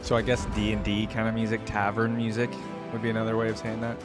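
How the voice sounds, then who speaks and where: A man's voice: fairly low pitch, monotone, quick pace. A man giving a casual, upbeat reaction commentary, informal and thinking aloud as he goes. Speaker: reaction video host. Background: faint music.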